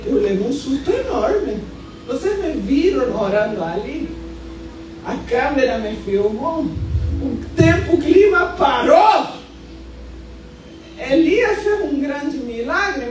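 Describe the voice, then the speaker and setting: A very echoey, slightly distant church recording with music carries the voice of a Brazilian woman pastor. Her voice is extremely high, and she preaches in an emphatic, animated way, telling stories in everyday colloquial speech. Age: 50s. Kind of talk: charismatic church preaching with personal storytelling. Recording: echoey hall, distant sound, music underneath.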